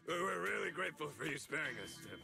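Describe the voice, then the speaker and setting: A man's voice: on the high side, animated, normal speed, vocal fry, over music. A man voicing a sheepish working-class film extra in a game, flustered and deferential. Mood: sheepish, apologetic.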